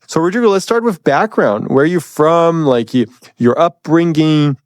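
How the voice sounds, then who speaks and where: A man's voice: a little high, animated, normal speed, clean tone, dry recording, clean studio sound. A man hosting a podcast in an upbeat, enthusiastic way, with many fillers. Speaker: podcast host.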